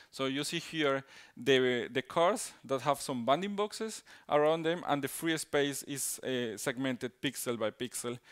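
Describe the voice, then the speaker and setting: Smooth-voiced Colombian man giving a talk. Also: mid-range voice, fairly bright tone. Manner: halting, many restarts and fillers, tag "right?". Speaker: conference speaker. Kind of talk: technical conference talk.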